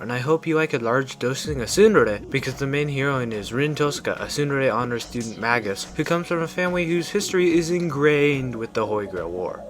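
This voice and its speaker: A man's voice: mid-range voice, fairly bright tone. A man reading a scripted video review in an informal, opinionated way. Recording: music behind the voice. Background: background music.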